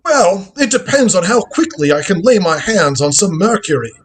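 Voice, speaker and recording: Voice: medium pitch, big pitch swings, normal speed, slightly rough. A performer playing an inquisitive old grandfather scientist who travels through time, musing and exclaiming theatrically in a script reading of a radio drama. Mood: explaining.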